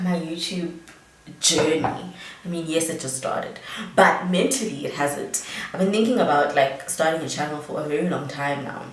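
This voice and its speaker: Young South African woman vlogger, a bit tipsy, loose rambling delivery; roomy sound with the mic at a distance. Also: low-pitched. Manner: rambling, chatty, many fillers. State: tipsy from drinking. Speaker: beauty vlogger. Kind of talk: casual storytime chat.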